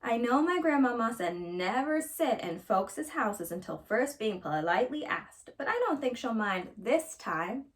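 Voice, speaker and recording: A woman's voice: on the high side, big pitch swings, unhurried, hardly any pauses, recorded from a distance. A woman voicing a polite, wayward young girl with a plaintive manner in a storybook. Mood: rueful.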